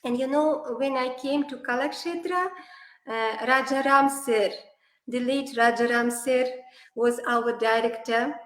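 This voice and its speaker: A woman's voice: high voice, fairly even intonation, a little slow, a bit bright, echoey room. A woman from Kazakhstan with a Kazakh accent, a native Kazakh speaker, telling stories in non-native English with many fillers as an interview guest. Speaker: guest, classical dancer. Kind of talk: online interview.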